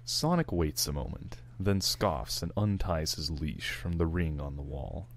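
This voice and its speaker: Male narrator, low and animated, reading screenplay stage directions. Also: a little slow. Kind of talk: scripted narration of fan fiction screenplay.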